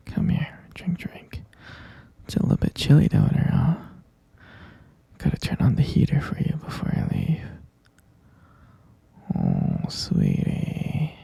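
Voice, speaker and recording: A man voicing an obsessive, possessive young boyfriend who keeps his partner captive, talking in a soft, coaxing whisper close to the mic. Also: a little high, vocal fry, husky, no room sound, heavily acted. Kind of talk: whispered ASMR roleplay.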